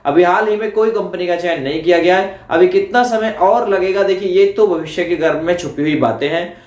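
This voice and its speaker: The recording is roomy and echoing. A man speaks in a notably high voice for a male. He explains an update to his viewers informally, circling back over his points and checking in with short tag questions. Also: fairly quick, a little mellow. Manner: repetitive, with frequent tag questions. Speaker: YouTuber giving exam updates.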